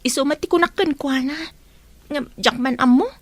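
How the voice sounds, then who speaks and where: A woman's voice: high-pitched, normal speed, no room sound, natural voice. A woman playing an anxious young wife who longs for a child in a radio drama, speaking emotionally with an Ilocano accent. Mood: pleading, concerned. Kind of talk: Filipino radio drama acting.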